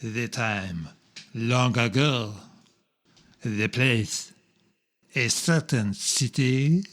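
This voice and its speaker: Very slow, deliberate male radio-drama announcer. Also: medium pitch, a bit bright, faint music behind. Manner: deliberate, halting delivery in short dramatic phrases. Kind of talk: dramatic scripted narration, old radio serial.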